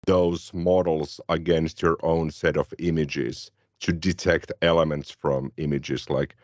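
A Finnish man co-hosting a tech podcast, his voice very low and even. He explains things in a relaxed, conversational way. The sound is dry and a little dull. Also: a little slow, dry recording. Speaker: podcast co-host. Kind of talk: casual tech chat.

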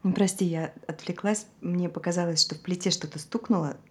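An actress playing a meek, distracted wife in stage dialogue, hesitant, defensive and apologetic. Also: female voice, fairly low pitch, fairly even intonation, fairly quick, slightly rough.

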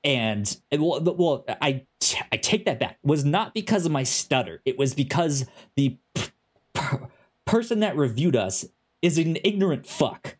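A man with a stutter hosting a casual podcast, halting with many ums and restarts. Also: fairly high pitch, big pitch swings, breathy edge. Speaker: podcast host.

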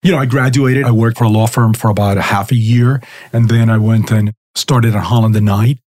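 A man's voice: fairly low pitch, steady tone, brisk, darkish tone, no room sound. A middle-aged man from Cuba, a lawyer guest chatting casually about his career, with an easy, self-deprecating manner. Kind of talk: casual interview chat.

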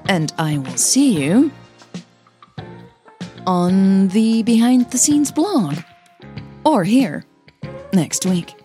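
A woman's voice: mid-range voice, sing-song, extremely slow, long pauses, mellow, soft background music. A woman writer narrating her own audio drama series and addressing listeners in a scripted podcast style.

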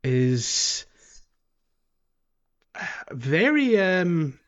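A man co-hosting a casual video game podcast, speaking haltingly and repeating words as he thinks. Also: a little high, sing-song, dull audio. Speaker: podcast co-host.

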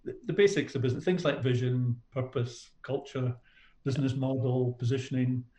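A man's voice: mid-range voice, fairly even intonation, normal speed, mellow. A middle-aged man with a Scottish accent, a business coach answering questions in a conversational interview. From Scotland. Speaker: business coach, podcast guest. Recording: roomy, echoey sound.

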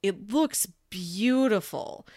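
A woman with a mid-range voice talks as a podcast co-host in a dry, close recording. She is enthusiastic and emphatic, chatting casually and slipping in asides as she makes her pitch. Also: animated, slow, mellow, no echo.

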